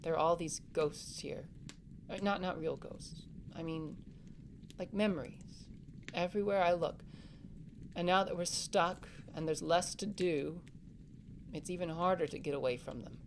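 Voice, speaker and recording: A woman's voice: fairly low pitch, a little slow, pauses often, a little hiss or hum. A woman playing an anxious, protective older sibling in a family audio drama, speaking earnestly and reassuringly. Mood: sad, vulnerable.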